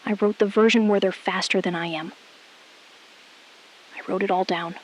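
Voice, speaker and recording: A woman playing a resolute, shrewd astronaut in an audio drama, speaking in a controlled, deliberate way and repeating phrases for emphasis. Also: medium pitch, fairly even intonation, a little hiss or hum, natural voice, poor audio. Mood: quiet resolve. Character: resolute, shrewd female astronaut exposing a cover-up. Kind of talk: scripted audio drama, hushed dramatic phone-call acting.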